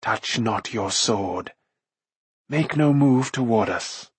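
A man voicing a cunning, scornful sorceress queen in an audiobook, hoarse and whispering, with slow, threatening, commanding delivery. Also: medium pitch, expressive, no echo. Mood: cold, threatening. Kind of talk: audiobook character voice.

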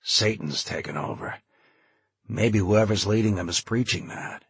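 A man's voice: lowish voice, measured pace, husky, dry recording, full character voice. A man voicing a grim, cynical male leader in an audiobook, speaking with cold, bitter force. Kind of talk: dramatized audiobook dialogue.

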